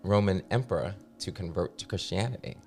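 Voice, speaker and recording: Low-voiced male podcast host. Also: brisk, creaky, darkish tone, faint music behind. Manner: curious, trivia-sharing questioner. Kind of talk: casual podcast interview.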